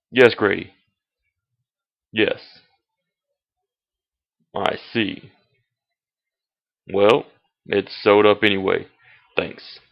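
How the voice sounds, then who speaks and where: A man playing a formal, probing police inspector in an old-fashioned radio mystery, with deliberate authority. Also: on the low side, gravelly. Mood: firm, conclusive. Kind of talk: old-fashioned radio detective drama acting. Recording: dull, lo-fi amateur radio-drama recording.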